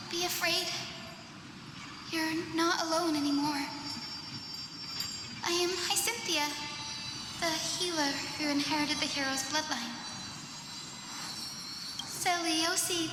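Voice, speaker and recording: A woman playing a gentle, earnest young healer in an anime-style game, speaking with compassion and clear words. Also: very high-pitched, noisy background. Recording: echoey reverb, game music behind. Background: loud game music.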